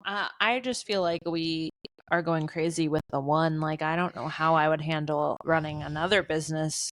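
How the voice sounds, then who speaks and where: A young adult woman co-hosting a podcast in casual chat, speaking in a flat, monotone way. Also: low voice, moderate pace. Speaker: podcast co-host.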